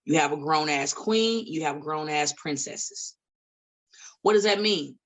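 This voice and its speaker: A woman's voice: low-pitched, measured pace, a bit bright. A woman in her late thirties, a guest on a podcast, talking bluntly and with lots of swearing in a casual chat. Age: 30s. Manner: blunt and profane, preachy asides. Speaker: guest, independent wrestler. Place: studio table.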